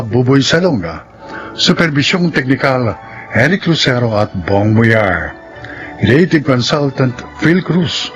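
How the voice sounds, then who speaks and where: A man announcing the credits and introduction of a radio drama in a formal, measured manner. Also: mid-range voice. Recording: dull lo-fi old radio broadcast. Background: soft music.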